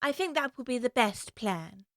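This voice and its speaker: A curious little girl in an audio drama, high clear bright voice, lively, dry close mic. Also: normal speed, no echo. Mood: musing. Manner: earnest, talking to herself.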